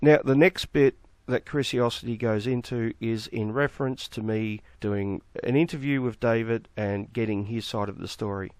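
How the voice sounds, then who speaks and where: Croaky man with a flu. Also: medium pitch, normal speed, few pauses, darkish tone, dry recording. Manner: sarcastic, mocking rebuttal. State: has the flu, croaky throat. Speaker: YouTube commentator. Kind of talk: argumentative response video.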